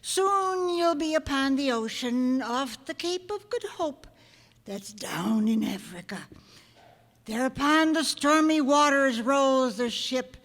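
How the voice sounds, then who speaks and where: Ancient, slow-talking cackling witch. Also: high-pitched, exaggerated voice. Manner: cackling, slow, theatrical, folksy archaic speech. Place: live stage before an audience. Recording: live hall with long reverb.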